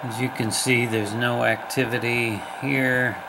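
Slow-talking man over loud radio static. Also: medium pitch, level tone. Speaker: shortwave radio hobbyist presenter. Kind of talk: casual hands-on demonstration. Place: indoors at a wooden table. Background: shortwave radio static, tones and ticking.

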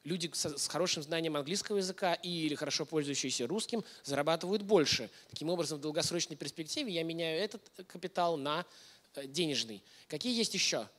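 Higher-pitched man giving an informal lecture. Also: expressive, quick pace, fairly bright tone. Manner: informal, engaging listeners with questions. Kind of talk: conversational lecture to an audience. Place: indoor hall, on stage before a projection screen.

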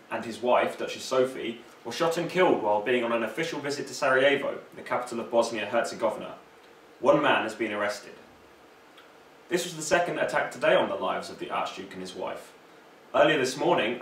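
A man's voice: mid-range voice, a bit bright. A young man presenting scripted news as an anchor, recorded in a room from some distance. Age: young adult. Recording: roomy, distant mic, faint noise.

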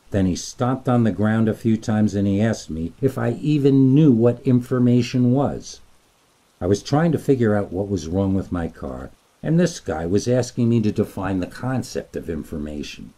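Very deep, dark-voiced male horror narrator. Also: low-quality recording. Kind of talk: scripted scary story narration.

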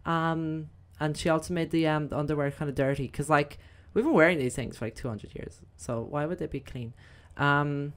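A young woman with a slightly croaky voice, chatting casually through gameplay commentary with lots of fillers. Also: sits low, moderate pace, few pauses. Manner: chatty, rambling, many fillers. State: croaky, voice recovering. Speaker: gaming video host.

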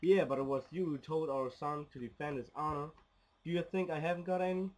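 A man's voice: fairly high pitch, steady tone, brisk, background noise, natural voice. A man playing a proud, hot-headed gangster father in a cocky manner in an amateur radio play. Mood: stubborn.

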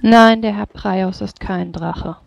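A woman's voice: medium pitch, fairly even intonation, takes it slowly, vocal fry, dry recording. A woman running a tabletop roleplay as game master, speaking politely, formally and with some hesitation. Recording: dull lo-fi microphone.

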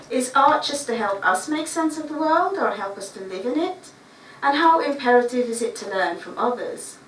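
A middle-aged woman from Guyana with a Guyanese accent, reading a prepared talk in a measured, reflective way with a few chatty asides. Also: medium pitch, slight background noise. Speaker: writer giving a talk. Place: small dark stage before a seated audience. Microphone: stand mic, filmed by a far camera. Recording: roomy, distant camera recording.

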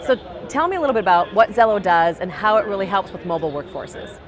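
Quick-talking woman interview host. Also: mid-range voice, expressive, some chatter behind. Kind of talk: upbeat trade show interview. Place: trade show booth set, indoors.

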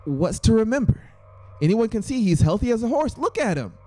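Man putting on an anxious, high-pitched young boy. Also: sing-song, brisk, warm tone. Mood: disbelieving. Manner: stammering, whimpering. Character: anxious young boy, panicky, stuttering, defiant.

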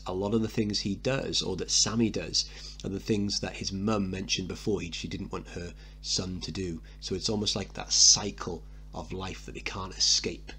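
A man's voice: sits low, pushed and bright, slight background noise. A man teaching, reading out a play's stage directions and explaining them as he goes. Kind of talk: dramatic reading with analysis.

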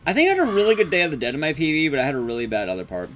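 A man's voice: a little high, huge pitch swings, very fast, a bit bright, soft background music. A man giving casual live commentary as he plays a game, swearing and muttering asides to himself. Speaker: streamer, speedrunner. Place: indoor room, streaming setup.

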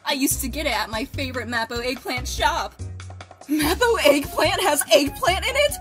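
Girl doing a loud bratty devil woman, high voice, swoops all over, comic dub. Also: expressive, normal speed, soft background music. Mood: boastful. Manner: brash, haughty, foul-mouthed. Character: loud, boastful, lying young devil woman.

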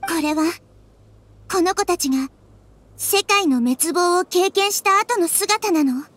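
A woman voicing a wise, caring little-girl deity in an anime game, soft, thoughtful and measured. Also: extremely high pitch, heavily acted. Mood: sorrowful concern. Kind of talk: Japanese anime game voice acting. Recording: music behind the voice.

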